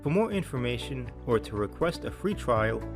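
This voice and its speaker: Guy doing a software demo voiceover, mid pitched, measured and explanatory, reading from a script. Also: very animated, music in the background. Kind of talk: scripted tutorial narration.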